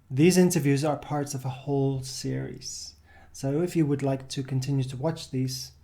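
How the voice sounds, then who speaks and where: A man's voice: medium pitch, creaky, a little mellow. A male host speaking directly to viewers and inviting them to subscribe and comment. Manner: friendly direct address to viewers. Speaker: interview host. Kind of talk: casual video outro.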